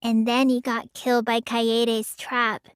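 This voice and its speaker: A woman's voice: fairly high pitch, flat delivery, slow, clean tone, no room sound, studio quality. A woman voicing a small, childlike teenage girl mage in an anime video game, earnest and pouty, stammering when flustered. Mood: pondering.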